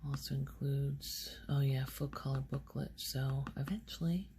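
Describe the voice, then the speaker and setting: Female ASMR host: unhurried, hushed and whispery, casual rambling delivery, crackly vocal fry, faint noise behind. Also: extremely low pitch, steady tone. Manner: hushed, often slipping into whispering. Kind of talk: soft-spoken ASMR, casual rambling show-and-tell.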